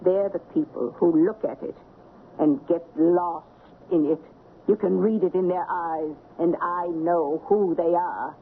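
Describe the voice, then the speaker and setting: A woman's voice: on the low side, fairly even intonation, a little slow. An actress playing a fearless, haughty woman in her eighties, blunt and lecturing, in an old radio drama with a tinny, noisy sound. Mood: defiant, dismissive. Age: 80s. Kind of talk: 1970s radio drama acting.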